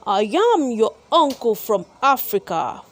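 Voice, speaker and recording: A sly, evil storybook magician played by a woman, bossy and short-tempered. His voice sits high for a villain and swings dramatically as he bellows out slow, commanding orders. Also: very animated.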